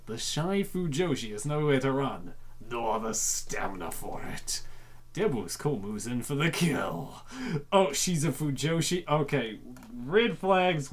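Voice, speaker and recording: A host reacting to and reading a comic aloud in an excitable, dramatic way, often repeating phrases. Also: mid-range voice. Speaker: host of comic dub show.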